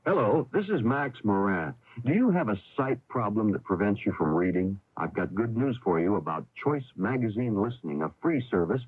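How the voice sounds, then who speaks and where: A male public service announcer with a husky voice of middling pitch. He reads his script deliberately but with lively intonation, on a tinny old radio recording.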